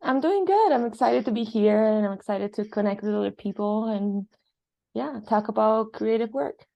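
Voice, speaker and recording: A woman's voice: a little high, moderate pace, vocal fry, dark tone, dull audio. A woman from Argentina, raised in Spain and Italy, speaking as an interview guest in an earnest, reflective, conversational way. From born in Argentina, grew up in Spain and Italy. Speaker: guest, dance filmmaker.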